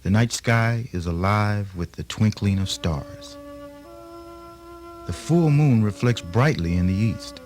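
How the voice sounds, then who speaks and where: A man reading scripted narration for radio theatre in a measured, descriptive manner. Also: on the low side, a little mellow. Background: flute music.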